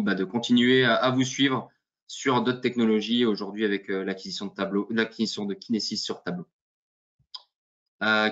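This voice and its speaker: Joking webinar host on a dull call line. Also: male voice, lowish voice, a bit bright. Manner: joking, many fillers. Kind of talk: casual webinar presentation. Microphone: video call.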